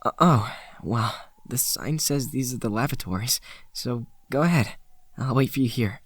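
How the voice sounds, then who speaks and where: A man voicing a protective teenage older brother in a video game fan dub, gentle and a little awkward. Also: mid-range voice, normal speed, lightly acted. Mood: caring, awkward. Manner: gentle, reassuring, slightly awkward.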